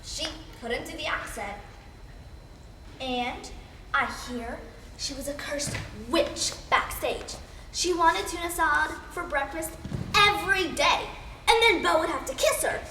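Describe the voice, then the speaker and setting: A woman's voice: high voice, measured pace, sharp tone, heavily acted. A young female performer playing catty, giddy soap opera fanatics in an exaggerated comedic school skit. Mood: catty, boastful. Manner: exaggerated, theatrical. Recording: echoey hall, faint noise.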